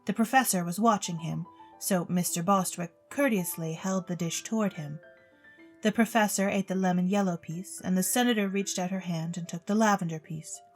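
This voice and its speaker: A woman reading a story as a theatrical narrator, with expressive, precise literary delivery. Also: on the low side, moderate pace. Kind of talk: theatrical scripted storybook narration for an audio drama. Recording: music bed under the voice. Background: music.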